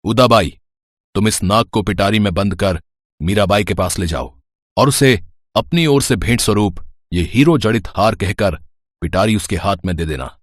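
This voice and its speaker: A man's voice: fairly low pitch, lively intonation, moderate pace, no room sound, clean studio sound. A man playing a cruel, scheming prince in a devotional story, imperious and formal in his speech. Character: stern, scheming villainous prince, commanding and cruel.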